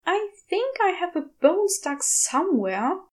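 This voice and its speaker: A woman plays a gentle angel in the body of a giant Tyrannosaurus for a storybook. He is fussy, polite and hesitant, in a raised, high voice. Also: big pitch swings, a little slow, heavily acted. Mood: mournful. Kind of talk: storybook character voice in audio fiction.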